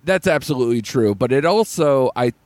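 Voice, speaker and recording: A man's voice: mid-range voice, expressive, a little fast, darkish tone, no room sound. A man hosting a casual podcast about a new film, laughing often as he reads out the plot. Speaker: podcast host. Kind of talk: casual film review chat.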